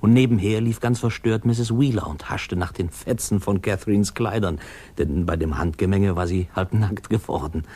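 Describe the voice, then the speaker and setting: A man's voice: low voice, moderate pace, mellow. An actor playing a man recalling his boyhood, narrating a radio drama in a conversational, amused way. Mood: amused, reminiscing. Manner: conversational, hesitant, chuckling storyteller.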